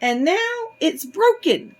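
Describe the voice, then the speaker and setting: A woman voicing a tiny, upset male bear cub from a storybook, squeaky and plaintive. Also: big pitch swings, slow pace, full character voice. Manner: plaintive, childlike crying out.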